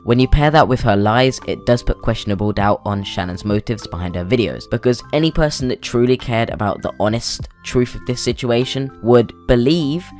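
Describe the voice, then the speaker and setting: Lower-pitched man giving video commentary. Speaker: guest YouTube commentator. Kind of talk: scripted opinion commentary.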